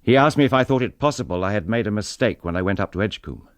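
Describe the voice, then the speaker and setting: An actor playing a tormented English gentleman in a radio drama, speaking with intense, bitter, clipped delivery. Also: on the low side, lively intonation, very fast, no room sound, lightly acted. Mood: tense, subdued. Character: tormented English gentleman husband confessing to killing his wife.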